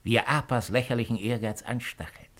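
An actor playing a shrewd, cynical imperial agent of ancient Rome in a 1950s German radio play, with a mocking, deliberate, rhetorical delivery. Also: male voice, medium pitch, fairly bright tone, lightly acted. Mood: mocking, relishing.

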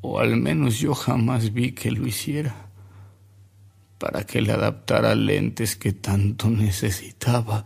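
An actor playing a weary, reflective older man with a Mexican accent, recalling events in a measured radio drama style with dramatic pauses. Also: lowish voice, fairly even intonation, vocal fry, darkish tone, breathy edge, exaggerated voice. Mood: reflective, confiding.

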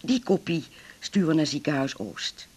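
An actor playing a discreet, pragmatic lawyer with a formal, measured way of talking, in a radio drama. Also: male voice, fairly high pitch, lively intonation, a little mellow, breathy edge. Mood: advising, reassuring. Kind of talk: naturalistic 1980s radio drama acting.

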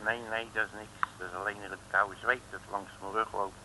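An actor playing a gruff, grumbling, bossy male detective with folksy slang and a gravelly voice, in 1960s comic radio play style. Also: lowish voice, level tone, fairly quick, bright, forceful tone. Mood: tense, hushed. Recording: noisy old radio recording.

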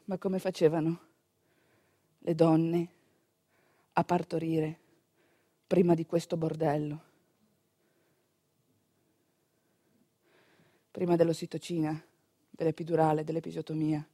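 An actress playing a sardonic, exasperated woman who recounts her hospital childbirth in a comic radio-theatre monologue, in an animated, wry manner. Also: low voice, normal speed, pauses often, dry recording, clean studio sound. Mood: quiet, pensive. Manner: animated, wry, foul-mouthed, breaking into shouts.

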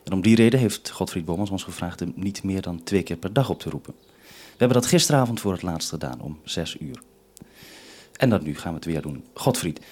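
A male radio interviewer with a lowish, husky voice. He words his questions formally and carefully, as one does over a two-way link. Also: darkish tone.

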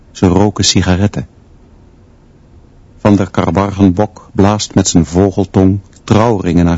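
Sombere leraar met lage vertelstem. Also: male voice, sits low, a little mellow, highs cut off. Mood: sombre, measured. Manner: terse, clipped sentences. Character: stern, brooding male schoolteacher narrating his own story. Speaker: narrator. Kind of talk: literary radio play narration, present tense.

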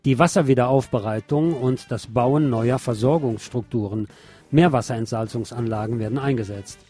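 Music with guitar plays behind a man presenting the news. His voice sits at a medium pitch. He reads from a script as a host would and slips in sardonic, opinionated remarks of his own. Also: normal speed, mellow, slightly rough. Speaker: radio show host reading local news. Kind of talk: scripted news with personal commentary.

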